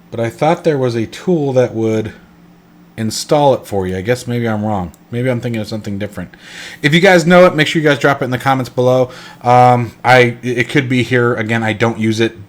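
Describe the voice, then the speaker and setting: Stuffy-nosed man rambling through a tutorial. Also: mid-range voice. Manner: rambling, self-correcting, lots of fillers. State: congested, stuffed up. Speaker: flight sim channel host. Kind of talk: casual screen-recorded tutorial.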